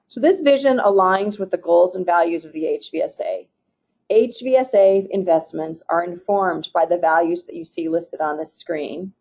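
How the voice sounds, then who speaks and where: A woman from a state agency presenting slides in a webinar, reading through program goals in a steady, formal way with occasional restarts. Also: on the low side, moderate pace. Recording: muffled, dull webinar audio.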